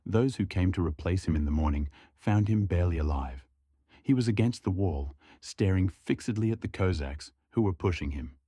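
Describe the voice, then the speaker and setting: A man's voice: sits low, lively intonation, normal speed, mellow, slightly rough, no room sound, studio quality. A man reading a scripted folk horror story as a narrator.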